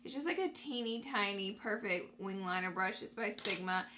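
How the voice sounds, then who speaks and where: A woman's voice: mid-range voice, moderate pace, noisy background. A young woman with a stuffy nose from allergies, chatting upbeat through a makeup tutorial for her viewers. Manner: upbeat, addresses viewers as guys. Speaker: beauty vlogger.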